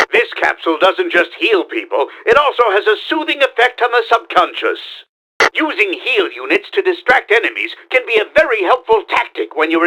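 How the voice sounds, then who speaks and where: An actor playing a pompous, scheming mad-scientist villain in a video game, with a theatrical, emphatic delivery. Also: male voice, sits high, bright, forceful tone, exaggerated voice, rough recording. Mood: instructive, knowing. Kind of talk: video game character acting.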